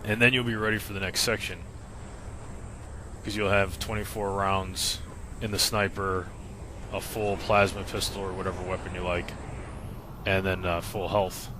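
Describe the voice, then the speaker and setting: Low-voiced man explaining a game. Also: little pitch movement, normal speed, long pauses. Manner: plain, instructional, informal. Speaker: game walkthrough narrator. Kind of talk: casual explanatory gameplay commentary. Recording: voice-over with clear game noise behind.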